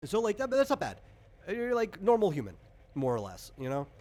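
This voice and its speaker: A man's voice: high-pitched, wildly swooping pitch, moderate pace, a little mellow. A man explaining things in casual chat as a game designer, often checking in with "right?". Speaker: game designer guiding character creation.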